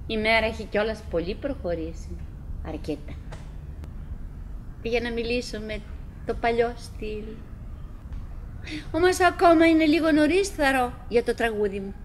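Actress doing a wistful chatty middle-aged wife, high voice, rambly radio play monologue, noisy old recording. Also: female voice, lively intonation, natural voice. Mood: musing, subdued. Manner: musing, rambling, dramatic pauses, swinging from exclamation to murmur. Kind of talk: theatrical radio drama monologue.

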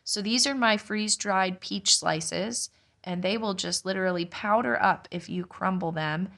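A young woman, a mother, casually narrating a home cooking vlog in a relaxed, explanatory way. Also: medium pitch, unhurried, creaky. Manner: relaxed, explanatory, chatty with light laughs. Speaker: homestead vlogger, mother.

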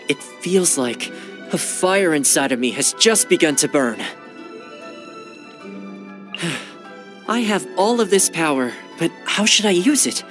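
A man's voice: fairly high pitch, fairly bright tone. A man voicing a friendly, earnest young male retainer in a video game, chatty and easygoing. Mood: earnest, resolute. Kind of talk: video game character voice lines.

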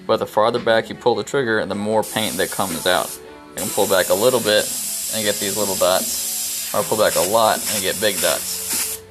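A young man casually explaining airbrush technique while painting in a small brick-walled studio, with relaxed ums along the way. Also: lowish voice, rough recording. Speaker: airbrush artist. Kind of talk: casual live-stream tutorial while painting. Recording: music playing under the voice. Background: music playing, airbrush hiss.

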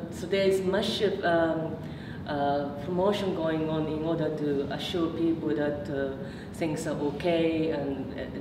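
A woman, giving a public talk as a researcher, hesitant with many fillers and restarts. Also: sits low. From Japan. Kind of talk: public lecture with slides. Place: meeting room. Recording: echoey room from far off, noisy.

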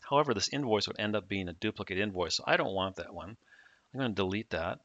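Bright, low-voiced man narrating a tutorial. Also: lively intonation, quick pace, no echo, lo-fi sound. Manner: plain, step-by-step explaining. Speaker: software demonstrator.

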